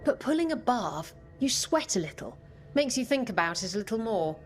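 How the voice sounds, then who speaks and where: A woman's voice: fairly high pitch, sing-song, normal speed. An actress voicing a brash, pirate-like adventurer woman with a swaggering storyteller's manner in a video game. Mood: earnest explaining. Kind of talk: video game cutscene dialogue. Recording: game music behind the voice.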